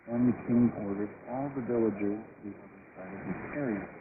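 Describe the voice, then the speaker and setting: A man's voice: on the low side, fairly quick. A man giving a translated voice-over of an interview in a radio report. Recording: very noisy, tinny shortwave broadcast. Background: heavy shortwave static.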